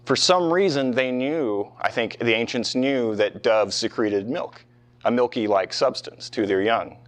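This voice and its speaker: A man's voice: mid-range voice, unhurried. A man lecturing on history as a professor, talking conversationally with rhetorical questions and casual asides to his listeners. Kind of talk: informal classroom history lecture with questions to the students. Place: live talk in front of a small audience.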